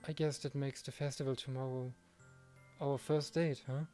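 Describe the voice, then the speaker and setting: A man plays a devoted teenage boy in love in a visual novel. His voice is mellow and mid-pitched, gentle and sincerely reassuring. There is soft music underneath. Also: flat delivery, normal speed, close to an everyday voice. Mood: gentle, concerned. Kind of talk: visual novel read-aloud.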